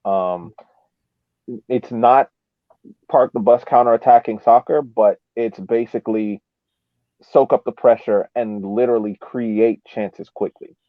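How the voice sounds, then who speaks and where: A man giving casual soccer match analysis as a podcast co-host, halting as he thinks, over a thin connection. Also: mid-range voice, steady tone, dry recording. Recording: thin phone-like line.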